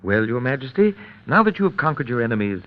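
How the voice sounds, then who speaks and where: A man's voice: medium pitch, big pitch swings, fast, music in the background, close to an everyday voice. An actor playing a loyal, deferential attendant to a king, speaking earnestly in an old radio drama style. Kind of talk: 1930s-40s radio drama acting. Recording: dull, lo-fi old radio broadcast recording.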